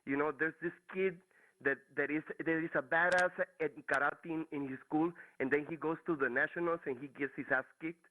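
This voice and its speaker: A man's voice: a little high, fairly even intonation, brisk, narrow, tinny audio. A man from Mexico with a Mexican Spanish accent, calling in to a talk show and searching for words with many restarts. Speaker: caller. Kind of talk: casual call-in chat.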